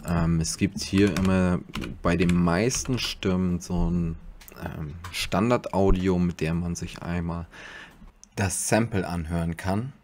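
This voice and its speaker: A man's voice: low voice, fairly even intonation, slow pace, darkish tone, a little hiss or hum. A man giving a casual tutorial commentary as host, speaking into a desktop USB microphone.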